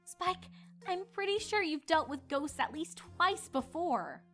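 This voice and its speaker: A woman's voice: high voice, animated, unhurried, fairly bright tone, soft background music. A woman voicing an earnest, easily indignant young female cartoon unicorn in an insistent, bristling manner. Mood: concerned. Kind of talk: cartoon fan-fiction voice acting.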